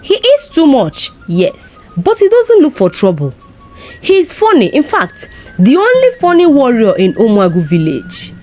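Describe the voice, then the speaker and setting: A woman's voice: sits high, wildly swooping pitch, a little slow, darkish tone, soft background music, heavily acted, rough recording. A woman with a Nigerian accent voicing an easygoing, amused male villager in a folktale, teasing and gossipy. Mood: teasing amusement.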